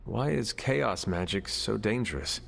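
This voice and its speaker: A stoic male warrior hero talks in a deepish, very gravelly voice. His speech is formal, measured and probing, as in a dramatic game cutscene, with music playing behind him. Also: lively intonation, a bit bright, heavily acted. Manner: formal, deliberate, few contractions. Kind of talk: video game cutscene acting.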